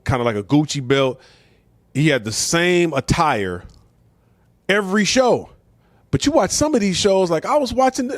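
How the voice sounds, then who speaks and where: A man's voice: fairly high pitch, no room sound. A middle-aged American man talking casually and bluntly as a show host, giving opinions in slangy, conversational speech with rhetorical questions. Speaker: comedian and talk show host.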